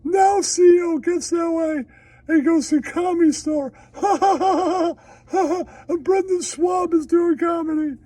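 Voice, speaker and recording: Manic puppet show hype-announcer, very high squeal, gleeful shouting and cackling. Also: male voice, slow pace, no echo.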